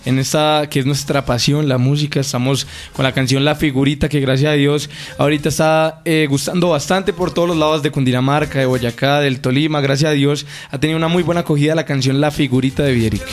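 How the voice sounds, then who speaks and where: Fast-talking singer. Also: male voice, a little high, steady tone. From Colombia. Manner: eager, talks at length, passionate. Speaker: singer guest. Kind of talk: radio interview.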